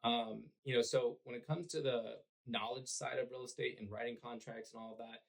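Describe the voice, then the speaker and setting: A man, hoarse and raspy from cheering, talking earnestly about his work as a podcast guest with lots of fillers. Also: mid-range voice, fairly even intonation, normal speed, rough recording. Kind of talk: conversational podcast interview.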